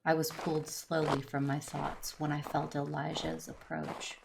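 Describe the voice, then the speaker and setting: A woman playing a solemn, conflicted but resolute Jedi warrior in an audio drama, speaking in a measured, earnest way. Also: low voice, level tone, vocal fry, background noise, heavily acted. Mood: brooding, somber. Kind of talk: first-person narration and dialogue in audio drama.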